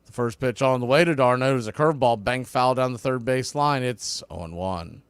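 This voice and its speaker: A man's voice: medium pitch, moderate pace, smooth, no room sound. A man doing live baseball play-by-play in clipped phrases, with a folksy, partisan enthusiasm for the home team. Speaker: baseball play-by-play announcer for a fan stream. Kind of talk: live sports play-by-play commentary.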